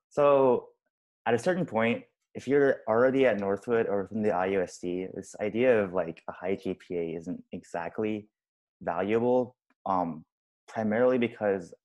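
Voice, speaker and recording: Deep-voiced young man giving advice. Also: darkish tone. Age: young adult. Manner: earnest advice-giving, filler-heavy. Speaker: college student on alumni panel. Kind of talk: casual Q&A on a video call. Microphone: laptop mic on a video call.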